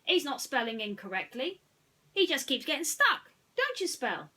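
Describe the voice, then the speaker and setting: A woman's voice: high-pitched, big pitch swings, normal speed, bright, forceful tone, voice pushed up, exaggerated voice. A woman voicing an earnest, concerned male toy leader in a children's story, speaking emphatically. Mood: urgent.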